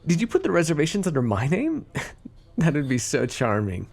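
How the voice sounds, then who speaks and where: A man's voice: on the high side, wildly swooping pitch, moderate pace, gravelly, darkish tone, full character voice. A man playing a cocky, teasing mafia boss with a theatrical, flirty drawl in an ASMR roleplay. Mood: sweet, lovey-dovey.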